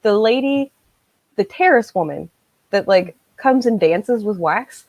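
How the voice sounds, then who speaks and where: A woman around her thirties chatting casually on a video call, acting out her reactions and quotes in an animated way. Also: mid-range voice, unhurried, dry recording, muffled recording. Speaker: co-host of a book discussion. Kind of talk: casual chat. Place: small room at home, on a video call.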